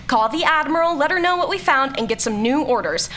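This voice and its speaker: A woman playing a snarky, excitable scientist and explorer in an audio drama, sarcastic, bossy and bluntly casual. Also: high-pitched, a little fast, slight background noise. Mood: exasperated. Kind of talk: scripted audio drama acting.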